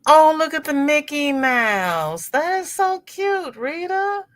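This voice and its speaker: Slow, lively-voiced woman hosting a craft stream. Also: sits high. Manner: warm, chatty, reads comments aloud and greets viewers by name. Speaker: host of a crochet livestream. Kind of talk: casual livestream chat reading viewer comments.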